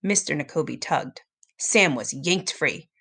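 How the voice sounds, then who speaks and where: A woman narrating a children's story aloud in an expressive, dramatic storytelling manner. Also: low voice, slow, creaky, breathy edge. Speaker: storybook reader.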